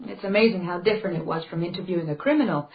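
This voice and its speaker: An actress playing a courteous, quietly probing newspaper reporter in a radio crime drama, composed and pointed. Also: female voice, fairly low pitch, very fast, highs cut off, natural voice. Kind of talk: detective radio drama acting.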